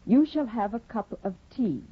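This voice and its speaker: A restless bored wife, husky and scornful, muffled lo-fi 1950 radio recording. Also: female voice, a little high, expressive, moderate pace. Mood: commanding. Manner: cold, commanding, scornful. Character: proud, restless, scheming young wife. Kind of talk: 1950s radio acting.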